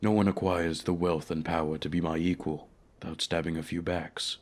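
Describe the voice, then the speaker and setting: A man voicing an ancient, lonely, haughty male dragon in a fantasy audio roleplay, speaking in a formal, measured, courteous way. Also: deep voice, little pitch movement. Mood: wistful, tender.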